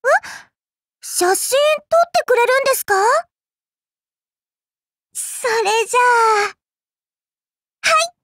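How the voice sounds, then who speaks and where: Very high, mischievous little girl villainess. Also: animated, slow pace, pauses often, fairly bright tone, dry recording, studio quality, full character voice. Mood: cheerful, wistful. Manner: coy, polite, playfully menacing. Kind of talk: Japanese mobile game character voice lines.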